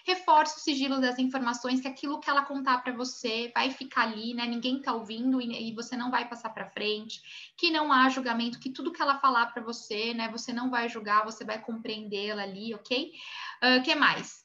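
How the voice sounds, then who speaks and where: A woman's voice: a little high, fairly even intonation, a little fast, pushed and bright, muffled recording. A Brazilian woman teaching an online class on image consulting, chatty and full of little check-ins like ok. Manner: chatty, many tag questions like ok and né.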